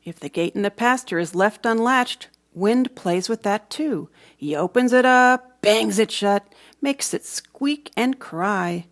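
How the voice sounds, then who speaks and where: A woman reading a storybook aloud for children, with dramatic emphasis and hushed moments. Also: medium pitch, slow pace. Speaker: storybook reader. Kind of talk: expressive children's read-aloud narration.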